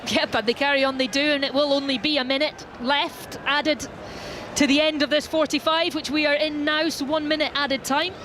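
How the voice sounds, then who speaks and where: A woman giving brisk live play-by-play commentary on a football match from a stadium. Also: high-pitched, steady tone. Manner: brisk, descriptive play-by-play calling. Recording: stadium crowd and loud background noise.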